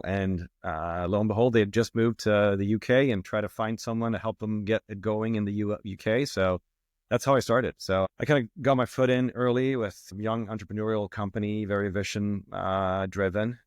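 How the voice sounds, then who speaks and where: Swedish-accented man, low dry voice. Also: no echo. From Sweden. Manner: relaxed, reflective, with many fillers and restarts. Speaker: guest, marketing executive. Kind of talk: casual interview talk.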